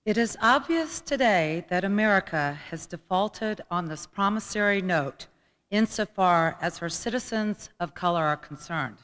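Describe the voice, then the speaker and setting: A woman reads oratory aloud at a mid pitch. Her delivery is formal and dramatic, carried by the long reverb of a big hall. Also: expressive, unhurried, a bit bright. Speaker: guest reader at a commemorative event. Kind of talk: dramatic speech reading, oratory. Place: large indoor hall at a college event.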